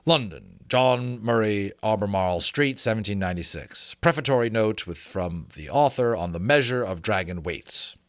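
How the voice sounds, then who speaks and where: A man doing a dramatic, deliberate audiobook reading, mixed with casual, chatty asides to his listeners. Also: on the low side, expressive, no echo. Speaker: reader and presenter of a book reading channel.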